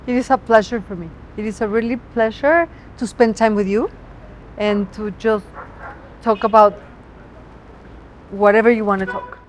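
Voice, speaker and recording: A woman's voice: medium pitch, steady tone, normal speed, pauses often, slight background noise, rough recording. A woman with a Mexican accent, native Spanish speaker, a chef talking expressively outdoors and sometimes searching for words. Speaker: chef, guest. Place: outdoors on a city street.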